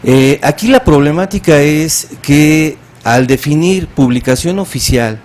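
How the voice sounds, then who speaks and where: A man speaking as a panelist at an academic symposium, measured and thoughtful, with frequent filler pauses. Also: on the high side, darkish tone. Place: conference hall.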